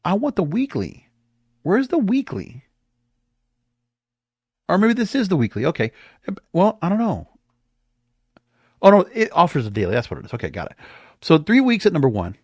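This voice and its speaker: Man with lively, varied pitch, talking off-the-cuff. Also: moderate pace, pauses often, husky, no echo. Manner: off-the-cuff, thinking aloud while reading figures. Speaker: podcast host. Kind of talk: casual music chart commentary.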